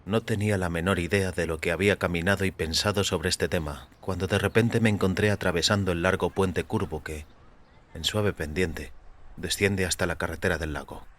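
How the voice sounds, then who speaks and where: A man giving a measured, literary, dramatic narration of a short story in a deliberate manner. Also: low voice, steady tone, a bit bright. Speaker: audio fiction narrator.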